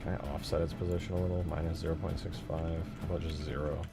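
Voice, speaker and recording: Deep-voiced man thinking aloud over music. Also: little pitch movement, fast talker. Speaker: game modder. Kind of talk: casual screen-recorded modding commentary, thinking aloud. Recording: music behind the voice. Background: clear background music.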